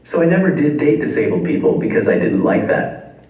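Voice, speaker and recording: A young Canadian man performing a stand-up comedy set, delivering dry one-liners deadpan at a live venue. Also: medium pitch, brisk. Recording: echoey venue from far off.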